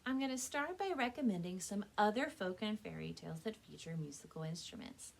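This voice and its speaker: A woman speaking as a children's librarian and storyteller, with an expressive, clearly enunciated read-aloud delivery. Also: medium pitch, brisk. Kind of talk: children's storytelling and book recommendations.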